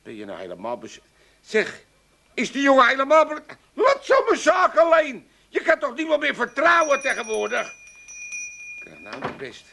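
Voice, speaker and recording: An actor playing a talkative, boastful older shopkeeper with an Amsterdam accent in a radio play, rambling on in chattering sales patter. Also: male voice, very high voice, huge pitch swings, moderate pace, creaky, pushed and bright, exaggerated voice. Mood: rambling, apologetic. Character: talkative, boastful old male shopkeeper, a bit tipsy.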